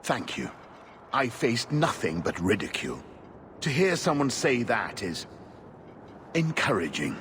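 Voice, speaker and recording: An actor in a video game playing a weary, obsessive investigator, earnest, measured and frustrated. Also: male voice, medium pitch, sing-song, fairly bright tone. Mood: grateful, determined. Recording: clear background ambient noise.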